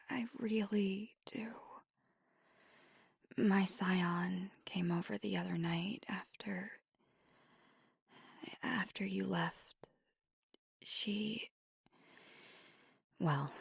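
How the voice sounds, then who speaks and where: Woman voicing a hushed, breathy, nervous vampire girlfriend. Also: fairly low pitch, dry recording, clean studio sound, heavily acted. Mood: nervous, apologetic, then earnest, reassuring. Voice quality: breathy, husky whisper. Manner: hesitant, halting, earnest delivery. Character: nervous, tender, devoted female vampire girlfriend. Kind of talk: scripted intimate ASMR roleplay.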